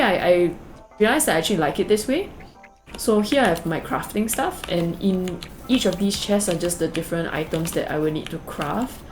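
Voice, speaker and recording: A young woman with a Singaporean English accent talks her way through a cozy game tour in a low-pitched voice. Her delivery is loose and chatty, full of fillers and small asides. Faint music plays quietly behind her. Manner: chatty, rambling, many fillers and "like"s. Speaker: gaming video creator. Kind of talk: casual game tour narration.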